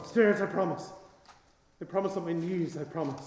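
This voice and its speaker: A man's voice: on the high side, a little fast, natural voice. A man playing an old miser reformed into giddy generosity in a theatrical radio play, exclaiming and repeating his words. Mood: desperate pleading. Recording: echoey reverberant hall sound, music underneath. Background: music.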